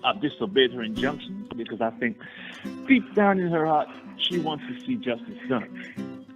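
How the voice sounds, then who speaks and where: A man acting an earnest young gentleman spurned in love in a radio play, formal and insistent. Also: mid-range voice, sing-song, normal speed, natural voice. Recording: tinny narrow-band audio, faint music.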